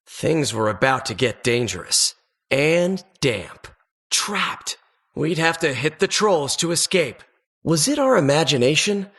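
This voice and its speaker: A man's voice: a little high, a bit bright, slightly rough, exaggerated voice. A man playing an earnest adventurer who narrates childhood dungeon exploits for a video game in a suspenseful, wistful storybook manner. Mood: ominous.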